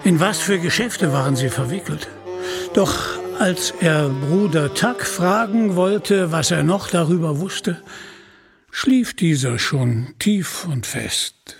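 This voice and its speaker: A man's voice: on the high side, expressive, slow, vocal fry, faint music behind. A man narrating a scripted storybook tale as an audiobook reader.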